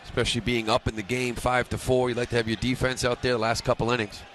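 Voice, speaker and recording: Bright, forceful male baseball analyst. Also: medium pitch, flat delivery, fast. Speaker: baseball colour commentator. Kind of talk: casual live sports analysis. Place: broadcast booth at an outdoor ballpark. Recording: slightly noisy stadium radio broadcast.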